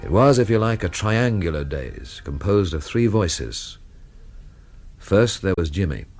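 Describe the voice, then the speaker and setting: A man's voice: sits low, sing-song, unhurried, a little mellow, soft background music, full character voice. An actor playing a wry, thoughtful man who narrates a radio mystery drama in a dry, understated manner. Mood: reflective.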